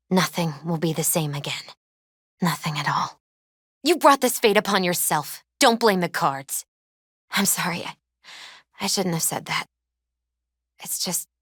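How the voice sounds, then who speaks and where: A woman voicing a gentle, mystical young tarot reader in a video game, soft-spoken, measured and earnest, with a foreboding manner. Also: on the low side, breathy edge, dry recording, clean studio sound. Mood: solemn, foreboding, then concerned, hurt. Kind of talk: video game character dialogue.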